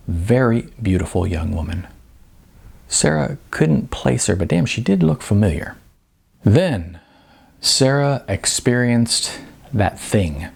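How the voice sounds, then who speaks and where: A man reading aloud from his own novel in an expressive, wry storytelling voice. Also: on the low side. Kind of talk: audiobook-style reading.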